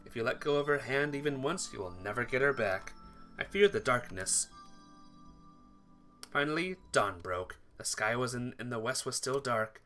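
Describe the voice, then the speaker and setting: Animated man reading a story aloud. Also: mid-range voice, normal speed, soft background music. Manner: stumbles over words and rereads them. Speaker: gamer reading a visual novel playthrough. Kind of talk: read-aloud first-person narration.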